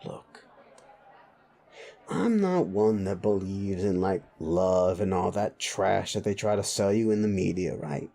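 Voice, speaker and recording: A man's voice: sits low, expressive, slow pace, vocal fry, mellow, full character voice. A man playing a sleazy, bullying, foul-mouthed werewolf boss in a roleplay audio drama, blunt and needling. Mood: gruffly conceding. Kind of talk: immersive roleplay audio drama addressed to listener.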